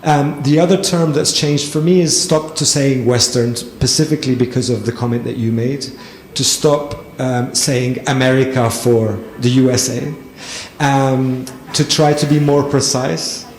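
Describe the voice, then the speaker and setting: A man's voice: medium pitch, steady tone, unhurried. A man answering an audience question at a panel talk, thoughtful, with frequent ums and restarts. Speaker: lecturer and panelist. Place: event hall with audience. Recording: echoey hall, faint noise.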